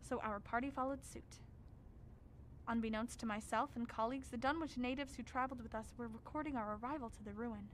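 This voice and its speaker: A grave scholar, played by a woman, recounts horrors in a radio drama. The voice sits fairly high, formal and measured with an ominous weight, over faint background noise. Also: steady tone, natural voice, poor audio. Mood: ominous dread. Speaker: actor narrating. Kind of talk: radio drama narration as a written record.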